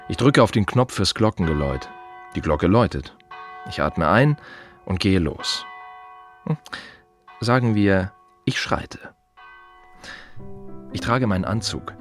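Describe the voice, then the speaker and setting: An actor playing a wry, brooding gravedigger in a radio drama monologue, speaking in clipped fragments with pointed repetitions. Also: male voice, deep voice, exaggerated voice. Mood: solemn, wry. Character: wry, brooding male gravedigger musing on the dead.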